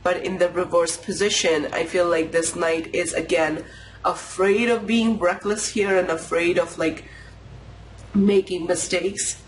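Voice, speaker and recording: Tarot host with a cold: nasal, slightly raspy, unhurried and intuitive, mid-range pitch. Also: fairly even intonation, slight background noise, rough recording. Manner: unhurried, intuitive musing with pauses. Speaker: tarot reader. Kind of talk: pick-a-card tarot reading to camera.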